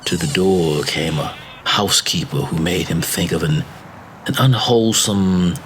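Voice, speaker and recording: Slow, low-voiced man reading a macabre tale. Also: fairly bright tone, a little hiss or hum. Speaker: story reader. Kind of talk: literary audiobook narration of a macabre tale.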